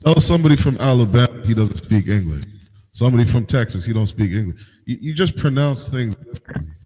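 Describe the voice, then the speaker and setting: A man's voice: medium pitch, animated, fast talker, warm tone, slightly rough. Emphatic man preaching in a hall. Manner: emphatic, urging, repeats himself. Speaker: teacher leading a Bible study. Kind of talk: sermon-style teaching. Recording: echoey hall, faint noise.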